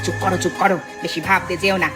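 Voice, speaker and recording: A woman's voice: fairly low pitch, flat delivery, quick pace, close to an everyday voice. A woman voicing a scheming, bossy young wife of a village family in a cartoon drama, with an urgent, insistent manner. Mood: scheming, impatient. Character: scheming, bossy village daughter-in-law, anxious about reputation. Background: prominent music.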